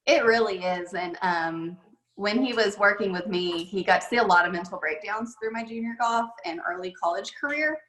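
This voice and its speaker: A woman's voice: medium pitch, moderate pace, a bit bright. A woman head golf coach telling stories in a casual, chatty way with many fillers, over a video call. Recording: echoey room on a video call.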